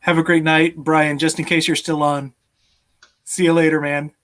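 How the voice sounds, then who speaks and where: A man's voice: a little high, level tone, moderate pace, fairly bright tone. A man in his thirties hosting a casual live stream over a video call from home. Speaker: host, game company creator.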